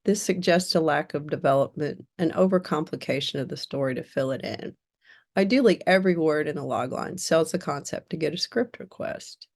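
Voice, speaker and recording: A woman giving a prepared critique as a reviewer, in a measured, direct and instructive way. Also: deep voice, animated, creaky, darkish tone. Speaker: script reviewer.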